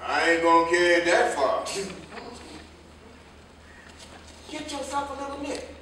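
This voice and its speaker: Guy acting older american tailor spinning proud folksy tales in a play, high voice, mic far off in echoey room. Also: big pitch swings, brisk. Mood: sly. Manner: folksy, rambling, chuckling storyteller. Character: reminiscing older male tailor turned jitney driver, hard-drinking, proud. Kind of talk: staged play reading, storytelling monologue. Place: indoor theater stage, recorded from afar. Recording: echoey stage, distant mic, faint noise.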